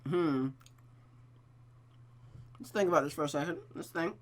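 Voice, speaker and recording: A man's voice: fairly high pitch, a little slow. A man reading a visual novel aloud with casual, slangy commentary and muttered asides. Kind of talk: casual playthrough with read-aloud narration and commentary.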